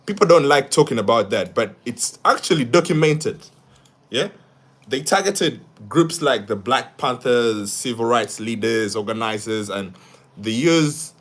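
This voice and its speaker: A young man from Uganda, hosting a live stream where he talks through social issues and reads viewer comments, often repeating words and restarting his sentences. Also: medium pitch. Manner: asks "yeah?" after each point. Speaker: livestream host.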